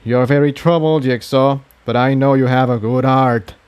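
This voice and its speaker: A man voicing a calm, kindly old male pony priest in a storybook reading. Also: mid-range voice, normal speed, smooth, lightly acted. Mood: kindly, grave.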